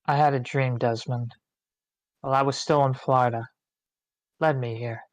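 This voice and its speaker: A man's voice: mid-range voice, fairly even intonation, normal speed, dry recording, natural voice. A man reading the part of a haunted, devout father in a supernatural screenplay, solemn and commanding. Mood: grave. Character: haunted, devout father confronting a demonic force. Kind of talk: screenplay table read.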